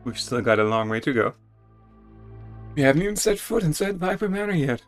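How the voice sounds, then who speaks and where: A man's voice: fairly high pitch, big pitch swings, brisk. A man streaming a dramatic, theatrical reading of game text, with casual asides to viewers. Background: music.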